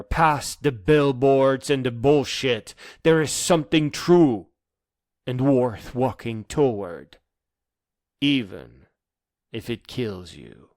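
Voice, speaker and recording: A man delivering a dramatic spoken-word monologue in an earnest, emphatic manner that moves between hushed and insistent phrases. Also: medium pitch, dry recording, studio quality.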